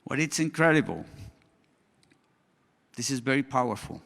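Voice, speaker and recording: A male conference speaker who is not an English speaker, talking slowly in a halting way with many restarts as he presents to an audience and takes questions. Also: medium pitch, animated, vocal fry, a bit bright. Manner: slow, halting, frequent restarts. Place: large conference hall. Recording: hall reverb.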